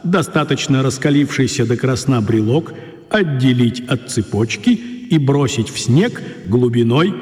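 A man's voice: mid-range voice, lively intonation, unhurried, darkish tone. A man voicing a wizard's solemn written instructions in a radio play, formal and heavy with reverb. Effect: long reverb. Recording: heavily reverberant, hall-like effect.

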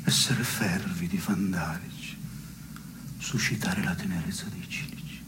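An actor playing a wry, melancholy man in an absurdist stage dialogue, speaking in a measured deadpan. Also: sits low, in a whisper. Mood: deadpan, solemn. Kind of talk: absurdist two-hander theatre dialogue. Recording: noisy radio broadcast of theatre piece.

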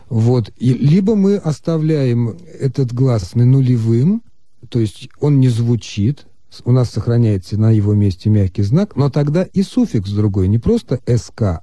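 A man calmly explaining Russian grammar as the expert on a radio call-in show. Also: medium pitch, no room sound. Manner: measured, lecturing. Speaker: language expert answering listeners.

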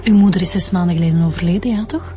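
A woman's voice: on the low side, racing, warm tone, slight background noise, natural voice. A woman playing a matter-of-fact police inspector with a Flemish accent, questioning and checking details in a radio drama. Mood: probing.

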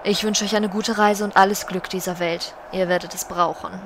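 A woman's voice: mid-range voice, fairly even intonation, fairly quick, background noise, natural voice. A woman playing a regal, solemn, commanding undead banshee queen in an audio drama, speaking in a stately, formal way. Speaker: voice actor in a parody audio drama.

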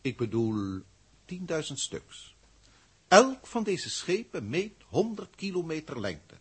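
An actor playing a lofty alien starship commander in a 1960s radio play, speaking formally and deliberately. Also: male voice, on the high side, big pitch swings, husky, full character voice. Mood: imperious. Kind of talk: 1960s Dutch science fiction radio play acting.

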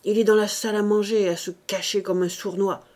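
A woman voicing a flustered, grumbling female servant who scolds and complains loudly, reading from a classic novel. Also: medium pitch, level tone, a little fast, natural voice. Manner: muttering, scolding, loud complaints.

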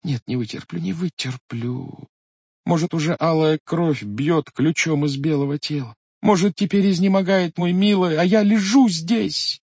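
A man reading a storybook voices a young Cossack wife who pleads in a high, impassioned, tearful voice. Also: expressive, normal speed, husky, dry recording. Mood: despairing. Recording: muffled lo-fi recording.

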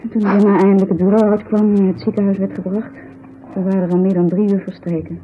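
A woman's voice: medium pitch, flat delivery, quick pace, a little hiss or hum, natural voice. An actress playing a distraught, indignant woman in a 1970s Dutch radio drama, speaking with agitated, bitter emphasis. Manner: agitated, emphatic, bitter storytelling with tag questions. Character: distraught, indignant woman telling of her injured friend. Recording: muffled 1970s radio recording.

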